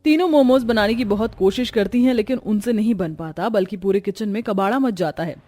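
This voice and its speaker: A woman's voice: medium pitch, fairly quick, mellow. A woman delivering scripted storytelling narration for a cartoon tale.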